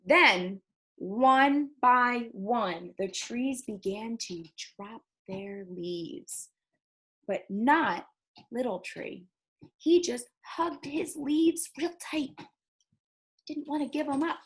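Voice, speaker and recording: Children's storytime librarian, female, slightly high voice, slow delivery, expressive and encouraging read-aloud. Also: rough recording. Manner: expressive, encouraging, addresses young listeners with questions. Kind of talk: reading picture books aloud to children.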